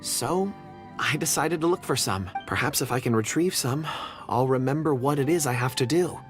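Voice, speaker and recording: A man's voice: medium pitch, lively intonation, moderate pace, soft background music, natural voice. Anxious, absent-minded, hesitant man played by an actor. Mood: anxious, lost. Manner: hesitant, apologetic. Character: polite, anxious, absent-minded man, troubled by lost memory. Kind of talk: English video game voice acting.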